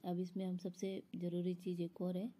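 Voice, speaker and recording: A woman's voice: lowish voice, monotone, normal speed, dark tone. A woman explaining a recipe step by step in an instructional voice-over, as a home cook. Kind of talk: step-by-step cooking tutorial voice-over.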